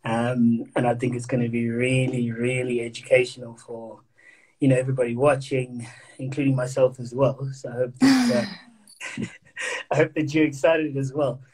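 A young man hosting a casual livestream interview over a phone video call, upbeat and enthusiastic, with a slightly husky voice. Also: medium pitch, flat delivery, normal speed, darkish tone, low-quality recording. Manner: upbeat, enthusiastic, many fillers. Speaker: host. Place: small indoor room. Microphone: phone front camera, video call.

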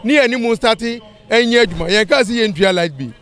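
A man's voice: high-pitched, expressive, fairly quick, fairly bright tone. A middle-aged man with a Ghanaian accent speaks out in an outdoor press interview, mixing in English phrases. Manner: mixes in English words and phrases. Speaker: community interviewee. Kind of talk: impassioned spoken interview to press. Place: outdoors in an open street area. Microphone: handheld press microphones and phones.

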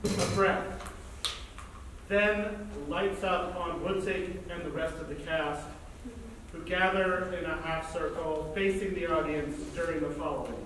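A man reading stage directions aloud in a measured way at a staged play reading. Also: fairly high pitch, fairly even intonation, noisy background. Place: large indoor theatre stage. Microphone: far camera in audience. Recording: very echoey theatre, distant microphone.

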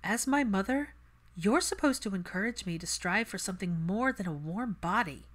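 An impassioned young daughter stands her ground as a woman narrator acts her out. Her voice rides higher and more forcefully, emphatic and argumentative, in the manner of a storybook reading. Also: lively intonation, normal speed. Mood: pleading, indignant.

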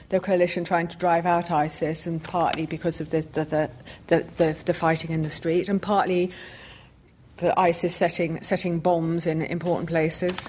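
Halting low-pitched woman panelist. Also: darkish tone. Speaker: panel speaker, expert. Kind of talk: extemporaneous explanatory panel talk. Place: public panel event on a stage.

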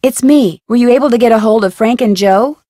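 An American woman playing a curious, upbeat young amateur sleuth in a video game, with a friendly, clear, conversational manner. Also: fairly high pitch, brisk, mellow, no room sound, studio quality, natural voice. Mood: friendly, matter-of-fact.

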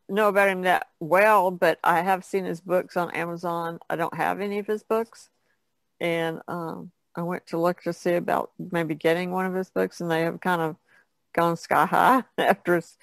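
A woman's voice: lowish voice, fairly even intonation, normal speed, vocal fry, no room sound. A woman talking casually as a guest on a podcast. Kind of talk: casual conversation.

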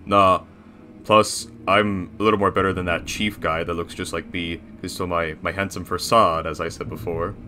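A man's voice: deep voice, steady tone, moderate pace, bright, forceful tone. A man voicing a boastful, bossy cartoon bear boss in rambling, self-satisfied improvised banter. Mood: smug, showy. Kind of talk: improvised character reaction banter.

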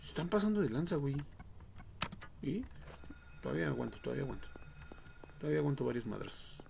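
Young male Mexican streamer, jokey and crude, fry-heavy voice, casual play-by-play over faint noise. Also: mid-range voice, big pitch swings, fast, a little mellow. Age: young adult. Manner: slangy, foul-mouthed, jokey. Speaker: livestream gamer. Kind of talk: casual game livestream commentary.

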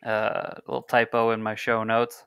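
Smooth-voiced male podcast host. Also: lowish voice, completely flat delivery, moderate pace, fairly bright tone, no room sound. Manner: loose, rambling, reads aloud with small slips. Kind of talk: casual chat and reading news and sponsor copy. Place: home room on a video call. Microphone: headset mic.

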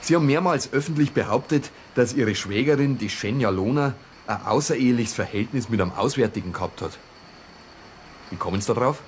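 An actor playing a businesslike lead police investigator in a radio crime drama, directive and probing, with a husky voice. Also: male voice, medium pitch, lively intonation, fairly quick, slight background noise, close to an everyday voice. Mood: stern. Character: businesslike male police investigator leading the case. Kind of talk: naturalistic German radio crime drama acting.

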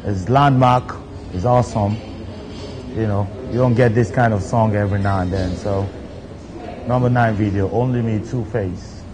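A Nigerian man in his thirties, a DJ sitting in a studio armchair and talking to camera in a relaxed way, with lots of "you know" fillers. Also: on the low side, normal speed, loud music behind the voice, rough recording. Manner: laid-back, frequent "you know" fillers. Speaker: DJ hosting his own video countdown. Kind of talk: relaxed seated talk to camera. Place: studio set, seated in armchair.

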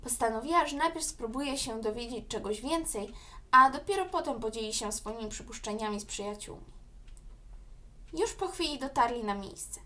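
High-voiced woman narrating a children's story. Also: expressive, moderate pace, sharp tone.

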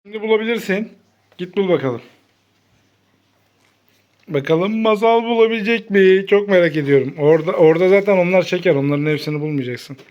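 A woman's voice: on the low side, a little fast, pauses often, highs cut off. A woman hosting a children's play vlog, talking casually to a child in short, repeated, exclamatory phrases.

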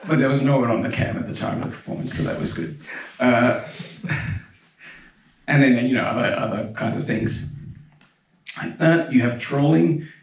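A young man giving a keynote talk as a pianist, speaking in a relaxed, chatty way with many fillers and restarts, on a handheld mic in a large dark concert hall. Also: mid-range voice, normal speed, pauses often. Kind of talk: conference lecture with video examples. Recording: roomy hall sound, mic heard from a distance.

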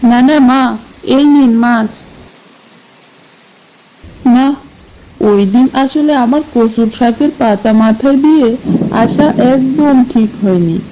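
A woman's voice: high voice, takes it slowly, long pauses, noisy background. A woman playing a sheepish, food-loving village husband in a cartoon folk tale, muttering and exclaiming to himself. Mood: sheepish grumbling.

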